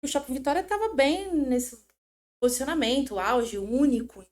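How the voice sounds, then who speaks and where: A middle-aged woman from São Paulo, a podcast guest telling stories in an animated, chatty way. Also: high-pitched, normal speed. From São Paulo, Brazil. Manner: animated, chatty, self-interrupting storyteller. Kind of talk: casual interview chat.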